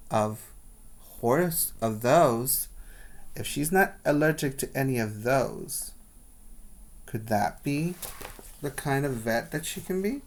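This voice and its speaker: A man reading aloud to young children as their teacher, pausing to explain and put questions to his listeners. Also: mid-range voice. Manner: instructional, asking the listeners questions.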